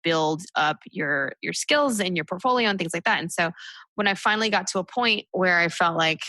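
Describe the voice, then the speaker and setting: A woman's voice: fairly low pitch, normal speed, sharp tone, no room sound, low-quality recording. A woman from the United States, a stand-up comic guest, talking in a casual interview, chatty and full of "like" and "you know" fillers.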